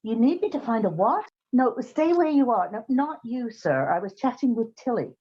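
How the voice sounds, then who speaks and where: An actress playing a brisk, wry woman who runs an odd job agency in an old-time radio comedy. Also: on the high side, animated, husky. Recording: slightly dull, muffled sound.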